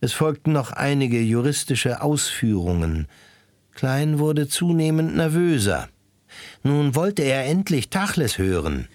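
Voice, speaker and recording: A man's voice: medium pitch, sing-song, measured pace, darkish tone. A man narrating a scripted story in literary prose for a radio drama.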